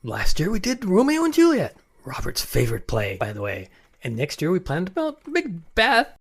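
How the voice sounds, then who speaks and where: An actor in a radio play voicing a proud, blustering Regency gentleman who acts as an amateur, hearty and emphatic. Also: high-pitched, huge pitch swings, moderate pace, creaky, full character voice.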